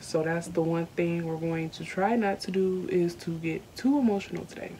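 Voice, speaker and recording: A young woman from the South, candidly telling a personal story on her vlog, earnest and slowed by emotion. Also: fairly low pitch, steady tone, background noise. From Southern United States. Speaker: YouTuber. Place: indoors at home.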